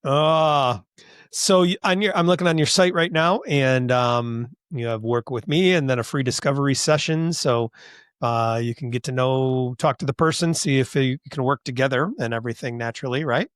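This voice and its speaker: A man's voice: mid-range voice, moderate pace, smooth, dry recording, clean studio sound. Easygoing middle-aged male podcast host. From Western New York. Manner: relaxed, encouraging, frequent fillers and laughs. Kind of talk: casual podcast interview.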